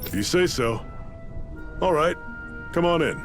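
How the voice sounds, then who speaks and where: A man's voice: fairly high pitch, big pitch swings, measured pace. A man playing a middle-aged resistance leader in a video game, commanding yet folksy and plain-spoken. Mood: sincere. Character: steady, rallying male resistance leader, gruffly fatherly. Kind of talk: video game cutscene acting. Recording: loud music behind the voice.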